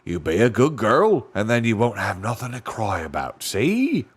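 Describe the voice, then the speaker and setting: A man's voice: fairly low pitch, huge pitch swings, moderate pace, breathy edge, exaggerated voice. A man voicing a greedy, wheedling male slave-dealer pirate with a coarse, folksy way of talking.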